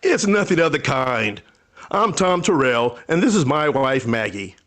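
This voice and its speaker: An actor playing a kindly older rural storekeeper in a radio play, folksy, halting, hospitable and a little wistful. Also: male voice, mid-range voice, big pitch swings, fairly bright tone. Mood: jovial, welcoming. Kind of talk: old-time radio drama acting.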